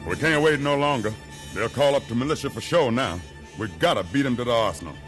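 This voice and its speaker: An actor playing a grave, determined leader of a slave revolt, giving commands in a 1950s radio drama. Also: male voice, medium pitch, expressive, fast talker, fairly bright tone, lightly acted. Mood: urgent, resolute. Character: resolute rebel slave leader, urgent and grave. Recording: old radio recording with music.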